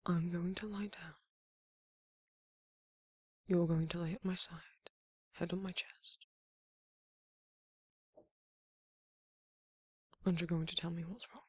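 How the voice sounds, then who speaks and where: A performer voicing a tender, courtly vampire lover in an ASMR romance roleplay, breathy and often whispering, with slow, soothing, formal speech. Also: medium pitch, fairly even intonation, a little mellow, dry recording, clean studio sound. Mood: gentle, coaxing.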